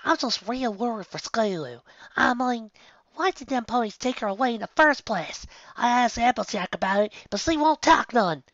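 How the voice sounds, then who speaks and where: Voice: mid-range voice, lively intonation, moderate pace, a bit bright, dry recording, muffled recording, voice pushed up. A narrator voicing an earnest, fretful young country pony filly with folksy phrasing. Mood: worried.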